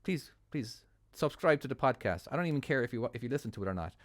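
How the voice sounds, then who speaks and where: An Irish man in casual commentary, rambling and self-deprecating, laughing as he goes. His voice sits at an ordinary middle pitch. The sound is dry and close, with no room around it. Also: lively intonation, quick pace, no echo. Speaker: trucking channel host.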